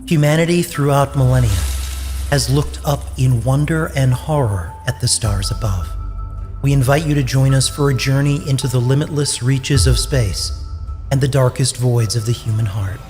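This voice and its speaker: A man's voice: medium pitch, normal speed. A man narrating a scripted drama introduction in a solemn, theatrical style. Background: music.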